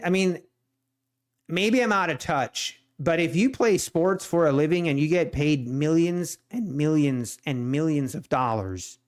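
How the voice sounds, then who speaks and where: A man from Ohio with a Swiss German accent, chatting casually on a day trading live stream and ranting with plenty of restarts and repeats. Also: on the high side. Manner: rambling, repeats himself, exasperated ranting.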